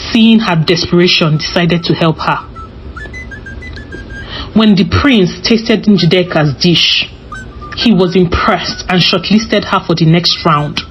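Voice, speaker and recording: Female storyteller, slightly low voice, scripted folktale narration, a bit dull in sound over music.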